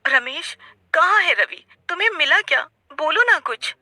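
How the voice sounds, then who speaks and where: A woman voicing a frantic, protective mother in a cartoon story, shrill, urgent and melodramatic. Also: animated, unhurried, sharp tone, dry recording, clean studio sound, heavily acted. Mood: worried.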